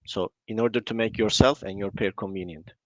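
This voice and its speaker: A man who is not a native English speaker, explaining points in short, halting phrases during a conversational conference talk. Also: lowish voice, fairly even intonation, dry recording.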